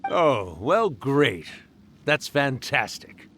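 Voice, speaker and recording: A man's voice: mid-range voice, very animated, very slow, a bit bright, a little hiss or hum, heavily acted. An actor voicing an ancient, playful and proud fox spirit in a video game, telling stories in a theatrical, teasing, grandfatherly way. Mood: fond.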